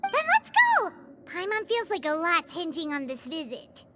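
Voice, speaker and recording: A woman voicing a small, excitable floating companion creature who speaks about herself by name, in a chirpy, childlike way. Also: very high voice, normal speed, heavily acted. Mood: eager. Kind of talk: video game voice acting. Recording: loud background clicks and noise.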